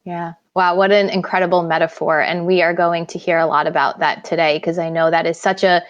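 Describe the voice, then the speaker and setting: A woman's voice: fairly low pitch, flat delivery, a little fast. Enthusiastic woman co-host, slightly dull audio. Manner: effusive, enthusiastic. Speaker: podcast co-host. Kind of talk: conversational podcast interviewing.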